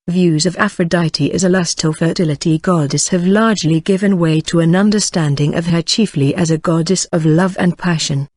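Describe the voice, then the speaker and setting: A synthetic-sounding voice reading an encyclopedia article aloud, keeping the same even delivery through section headings and sometimes mispronouncing names. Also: medium pitch, dry recording, clean studio sound.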